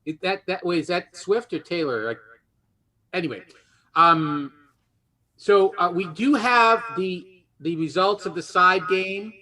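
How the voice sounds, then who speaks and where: A man hosting a casual game show, chatty and halting as he rephrases his explanations. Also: sits high, bright, forceful tone.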